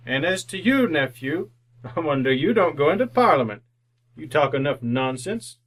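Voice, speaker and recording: A man's voice: fairly high pitch, sing-song, normal speed, a bit bright. An actor playing a miserly, cold-hearted, crotchety older businessman in old-time radio drama style, curt and scornful. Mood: scornful, scolding.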